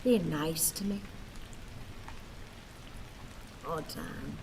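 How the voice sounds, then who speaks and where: A woman playing a frail, emotional old Irish wife in a radio play, speaking in a plaintive, halting way. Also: sits low, gravelly, a little mellow. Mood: weary, tender. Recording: reverberant hall, hissy rain-like background noise.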